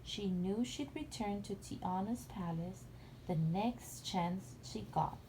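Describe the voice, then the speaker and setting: A woman's voice: medium pitch, takes it slowly, warm tone, slight background noise, low-quality recording. A woman reading a storybook aloud as a mother would at bedtime for a young child.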